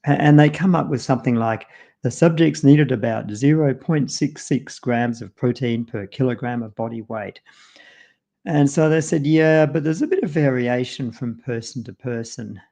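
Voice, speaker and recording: A man's voice: mid-range voice, creaky, mellow. A man with an Australian accent casually explaining nutrition on a live stream, loose and full of ums and you-knows. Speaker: co-host presenting on nutrition.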